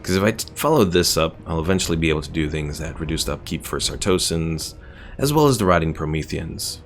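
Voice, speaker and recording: A man's voice: a bass voice, animated, quick pace, soft background music. A man streaming a game with casual live commentary, thinking aloud as he plays. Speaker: gaming streamer.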